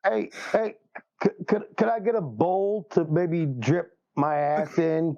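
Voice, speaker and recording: A man's voice: on the high side, takes it slowly, gravelly, darkish tone, no echo. A man playing a tabletop game, joking in character with casual, melodramatic complaints, on a dull microphone. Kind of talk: casual improvised role-play chat.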